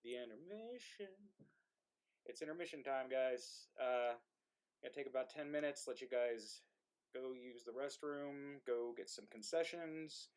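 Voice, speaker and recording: A man's voice: mid-range voice, measured pace, a little mellow, clean tone. A man with a mumbly voice, casually addressing an online audience as the host of a play reading. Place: indoor room, live online stream.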